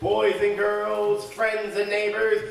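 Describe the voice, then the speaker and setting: A man's voice: high voice, completely flat delivery, vocal fry. A man hosting a live old-time radio show in a showy, jokey manner. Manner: showy, jokey, drawn-out greetings and repeats. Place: community center hall, live audience. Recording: echoey hall, distant mic, audience noise.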